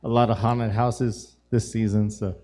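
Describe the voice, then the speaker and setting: A man chatting as a guest musician on a live show, his voice raspy and hoarse, relaxed and full of fillers. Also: lowish voice, measured pace. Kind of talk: casual chat on a live show.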